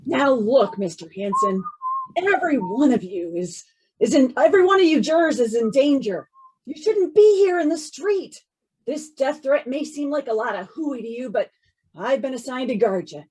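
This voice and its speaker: A woman acts out a worried homicide inspector in old-time radio style. The voice sits high and swings expressively, insistent and earnest as it warns and reports. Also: big pitch swings, normal speed, a little mellow, breathy edge, full character voice, low-quality recording. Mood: urgent warning.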